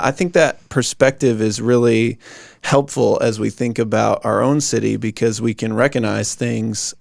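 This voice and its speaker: Male podcast host, mid-range voice, gravelly edge, earnest and halting, dry sound. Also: medium pitch, darkish tone, no echo. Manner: earnest, halting, generous with praise. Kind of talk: podcast interview.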